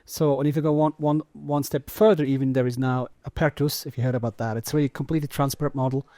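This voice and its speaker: A man's voice: medium pitch, steady tone, fast, warm tone, no room sound. Man panelist, emphatic and repetitive. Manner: repetitive, emphatic, restarting phrases. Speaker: panelist, tech business director. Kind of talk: conference panel discussion. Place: conference stage.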